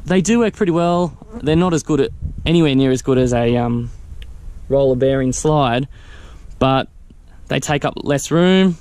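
Relaxed man explaining his gear. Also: mid-range voice, moderate pace. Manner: relaxed, practical explaining with fillers. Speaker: vehicle owner showing his camping setup. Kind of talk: casual walkthrough vlog. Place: outdoors beside a vehicle in a grassy field. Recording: faint wind noise outdoors.

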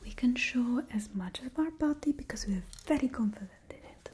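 A woman's voice: a little high, lively intonation, a little fast, a little mellow, slight background noise, natural voice. A young woman in her twenties voicing a confident female supervillain stylist in ASMR roleplay, whispering close to the mic with a put-on Russian accent and fussy, attentive talk that drops small words. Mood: soft, fussing. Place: indoor room in front of a painted backdrop. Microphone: close ASMR mic, moving near and far.

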